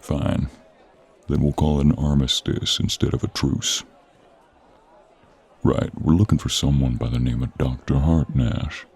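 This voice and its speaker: Gruff monster hunter played by a man, extremely low husky voice, wry and informal but honourable, for audio roleplay. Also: fairly even intonation, unhurried, a little mellow, full character voice. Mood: wry, guarded. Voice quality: gravelly, husky. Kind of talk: audio roleplay acting, speaking to the listener.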